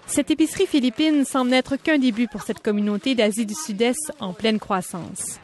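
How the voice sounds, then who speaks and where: A woman reporter reading a scripted news report in a measured, even way. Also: on the high side. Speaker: radio reporter.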